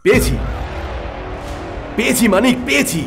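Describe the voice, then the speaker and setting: Animated, probing detective. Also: male voice, very high-pitched, moderate pace, gravelly, over music, full character voice. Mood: excited, triumphant. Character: keen, brooding, excitable male private detective. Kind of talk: audio drama acting.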